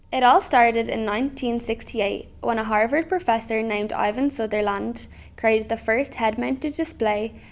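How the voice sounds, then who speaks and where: A woman narrates scripted educational material in a fairly high voice. There is a pronounced creak in her tone. She presents formally, reading in a measured, orderly manner.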